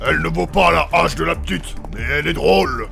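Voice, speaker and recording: A contemptuous, violent male mage-warrior from a comic fantasy audio play. His voice is extremely gravelly yet high, with a bright, forceful edge. He talks brashly, with a constant sneer. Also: a little fast, soft background music, exaggerated voice. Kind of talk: comic audio fiction acting.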